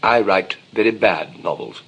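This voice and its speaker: An actor as a cynical novelist in a twenties drawing-room comedy. His fairly low voice is husky and moves freely up and down. He is urbane and conceited, teasing in a dry, blunt way. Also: lively intonation, normal speed, fairly bright tone, exaggerated voice. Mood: suspicious teasing. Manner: dry, blunt, playfully provocative. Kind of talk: 1920s English comedy of manners stage acting.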